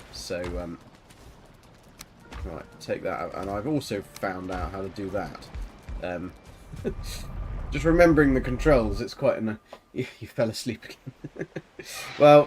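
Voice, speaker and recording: A man's voice: on the low side, a little hiss or hum. A man, chatting casually to viewers while he plays and mutters to himself. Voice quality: soft voice. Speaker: game streamer. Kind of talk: relaxed live gameplay commentary.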